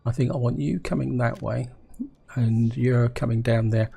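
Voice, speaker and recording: A hoarse man with a cold, musing aloud as he plays a strategy game, often asking himself questions and speaking to his viewers. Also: mid-range voice, steady tone, normal speed, dark tone. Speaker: gameplay commentator.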